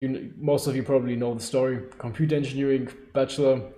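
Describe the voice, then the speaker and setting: A man's voice: fairly even intonation, fast talker, dark tone. A man in his twenties with a German accent, chatting loosely on a live Q&A stream, often restarting sentences and laughing. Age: 20s. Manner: rambling, self-correcting, laughing often. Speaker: livestream host. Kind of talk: casual live Q&A. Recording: echoey room with low-quality stream audio.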